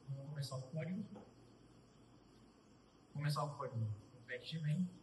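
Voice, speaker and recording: A young man giving a casual live coding tutorial, thinking aloud as he types, with pauses and restarts. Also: a little high, distant mic, slight background noise. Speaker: student presenter. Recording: roomy echo, voice off-mic.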